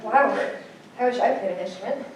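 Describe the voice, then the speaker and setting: A woman's voice: a little high, normal speed, slight background noise, close to an everyday voice. A woman playing a shy, hesitant schoolgirl in a staged children's musical, speaking and singing. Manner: hesitant, unsure. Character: shy schoolgirl with a tail and a branch hand. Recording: distant mic in echoey hall, music behind.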